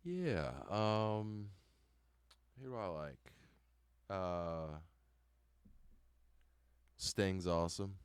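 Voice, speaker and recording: A man in his twenties, a band member, answering interview questions casually with many hesitant pauses. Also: low voice, smooth, no room sound. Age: 20s. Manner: relaxed, hesitant, many ums.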